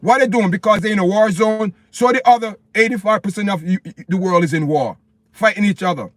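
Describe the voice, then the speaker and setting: A man's voice: sits high, brisk, no room sound. A man from Jamaica with a Jamaican accent, ranting passionately on a livestream to his viewers and repeating his points. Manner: impassioned, repetitive, preachy, addresses viewers as "people". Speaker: livestream host. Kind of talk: opinionated live-stream rant.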